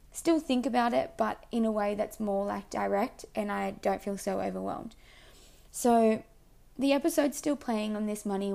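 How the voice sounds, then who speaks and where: A young woman with a mellow, warm tone and a gravelly fry at the ends of her phrases. She talks in a loose, rambling way, circling back and correcting herself mid-thought, like a vlogger sharing her plans with viewers. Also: on the high side. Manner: rambling, self-correcting, full of likes. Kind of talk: casual personal storytelling.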